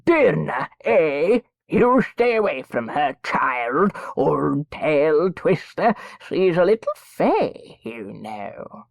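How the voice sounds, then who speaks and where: A woman voicing a sleepy old female nurse who murmurs in a gruff, motherly way. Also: deep voice, big pitch swings, very slow, dry recording, full character voice. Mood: drowsy, grumbling. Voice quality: gravelly, drowsy murmur. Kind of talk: audiobook character voice.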